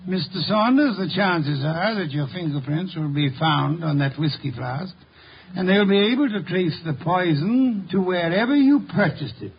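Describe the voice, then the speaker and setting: An actor playing a brilliant, pompous, unflappable detective in a 1950s radio drama, with precise, formal diction. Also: male voice, high-pitched, expressive, normal speed, breathy edge, heavily acted. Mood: accusing, composed. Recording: dull, noisy old radio recording.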